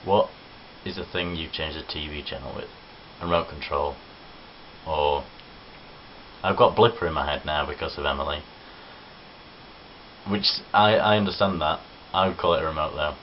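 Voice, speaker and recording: A man's voice: extremely low pitch, normal speed. A young man from Nottinghamshire with a Midlands accent, chatting casually and self-deprecatingly in a home video vlog. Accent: English Midlands (Nottinghamshire). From Nottinghamshire, England. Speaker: vlogger. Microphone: camera on tripod. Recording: dull lo-fi webcam audio with faint hiss.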